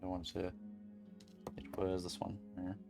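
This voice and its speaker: A streamer chatting casually and calmly while beading at a home desk, rambling gently with many fillers. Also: low voice, unhurried, creaky, music in the background. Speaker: crafting streamer.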